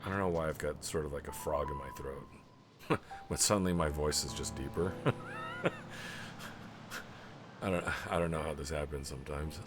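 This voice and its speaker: A man streaming a video game live, chatting in a rambling way and clearing his throat often. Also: rumbling low, normal speed, long pauses. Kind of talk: live let's play commentary. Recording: game music clearly audible underneath. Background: game music.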